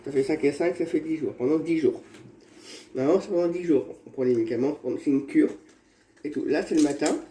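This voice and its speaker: A man vlogging at home while he has a cold, showing his remedies in a halting, rambling way that repeats itself. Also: a little high, mellow. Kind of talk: casual home how-to vlog. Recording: echoey room with faint background noise.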